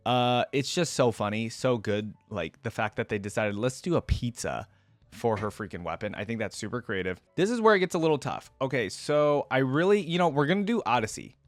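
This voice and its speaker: A man's voice: mid-range voice, no room sound. A man giving casual reaction commentary as a video host, thinking aloud in informal, slangy language.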